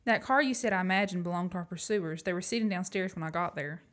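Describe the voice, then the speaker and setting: A woman's voice: on the low side, quick pace, close to an everyday voice. A woman acting a plucky, frank young woman in an amateur radio play. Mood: calm, matter-of-fact. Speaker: student actor.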